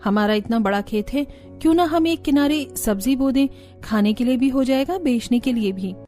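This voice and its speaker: Woman playing a high-voiced, feisty young village bride. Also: moderate pace, warm tone, faint music behind, lightly acted. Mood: earnest. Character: hardworking, feisty young village daughter-in-law.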